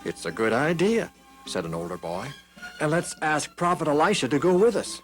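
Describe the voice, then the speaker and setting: Animated man narrating children's Bible stories. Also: a little high, moderate pace, soft background music. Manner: animated, dramatic, voices the characters. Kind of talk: expressive scripted storybook narration.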